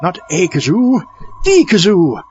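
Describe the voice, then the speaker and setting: An actor playing an eager, proud gift-giver in a comedic audio sketch, speaking haltingly and with emphasis. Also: male voice, sits high, wildly swooping pitch, darkish tone, dull audio, soft background music, exaggerated voice. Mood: proudly insistent.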